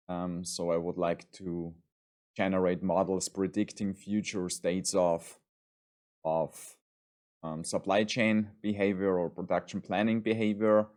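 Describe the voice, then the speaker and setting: Austrian man, very low voice, lecturer giving a measured, formal course introduction with frequent ums. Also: darkish tone. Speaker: university lecturer.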